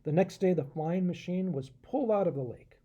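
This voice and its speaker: A man, a pastor, telling a children's story in an expressive, animated way and speaking directly to his listeners. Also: sits high, a little fast, highs cut off. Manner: animated, asks the listeners questions.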